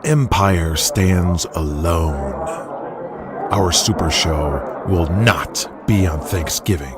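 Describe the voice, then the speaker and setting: A man's voice: deep voice, takes it slowly, background noise. A man playing a domineering, vulgar, grandiose wrestling company boss in an audio drama, speaking in a commanding, scornful, emphatic way. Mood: scornful, crude.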